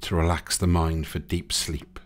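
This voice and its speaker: A man narrating a guided sleep meditation in a soothing, gentle, unhurried way, leaving pauses between short phrases. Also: low voice, level tone. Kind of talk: guided sleep meditation, hypnotic relaxation countdown. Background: music.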